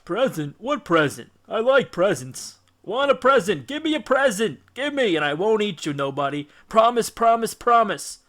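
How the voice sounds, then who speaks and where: Loud, childish brutish cyclops. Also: male voice, high voice, big pitch swings, moderate pace, few pauses, pitched above the performer's own voice. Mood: greedy, excited. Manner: simple broken speech, demanding and blustering. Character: childish, greedy, brutish one-eyed giant cyclops. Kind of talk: audiobook character acting.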